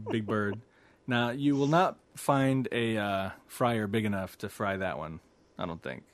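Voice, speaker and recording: A man's voice: on the low side, slow pace. A man hosting a podcast in casual, playful chat, teasing his co-host and laughing easily.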